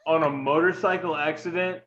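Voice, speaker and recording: A man guesting on a casual sports podcast, animated and profane. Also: on the high side, brisk, muffled recording.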